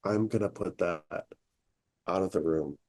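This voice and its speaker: A man with a fairly low, deep voice talks through his work as a therapist. He speaks candidly and reflectively, with easy fillers and restarts, in the loose tone of a podcast conversation. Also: measured pace, a little mellow. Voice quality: relatively deep voice. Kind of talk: casual chat.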